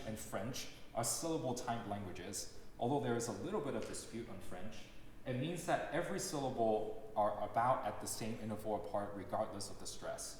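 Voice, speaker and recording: Young man lecturing in an echoey hall. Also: mid-range voice. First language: Cantonese. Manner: explanatory, measured, demonstrating examples aloud. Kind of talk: lecture recital talk. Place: large recital hall. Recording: echoey hall with long reverb, faint noise.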